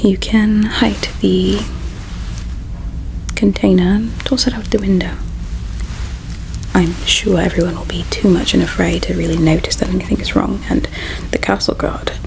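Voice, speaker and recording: A woman voicing a gentle, melancholy alien palace servant in an ASMR roleplay, speaking in a hushed, halting, confiding way. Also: lowish voice, flat delivery, vocal fry, slight background noise, low-quality recording. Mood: hushed, worried. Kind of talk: ASMR roleplay, soft whispering.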